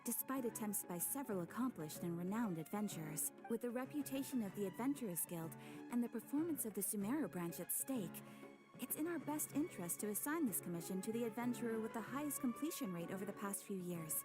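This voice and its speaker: A woman voicing a calm, courteous female guild receptionist who speaks in a formal, measured way, with soft game music behind. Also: mid-range voice, lightly acted, low-quality recording. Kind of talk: game cutscene voice acting.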